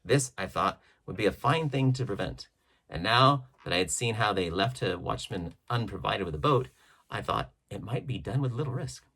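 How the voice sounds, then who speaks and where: A man reading a classic adventure novel aloud as expressive, measured audiobook narration with emphatic phrasing. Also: lowish voice, slightly rough. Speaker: audiobook reader.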